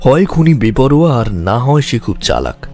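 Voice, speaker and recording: A calm, probing detective acted by a man in an audio drama. His mid-pitched voice moves with expressive inflection as he questions and reasons deliberately, with music behind him. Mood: analytical, grave. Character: calm, probing male detective, sharply observant.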